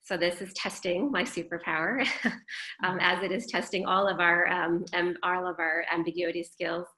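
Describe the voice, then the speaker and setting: Woman head of school from Arizona, upbeat, reflective, low-ish bright voice, echoey room on a video call. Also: steady tone, moderate pace. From Phoenix, Arizona. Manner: upbeat, reflective, self-deprecating. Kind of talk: webinar panel remarks.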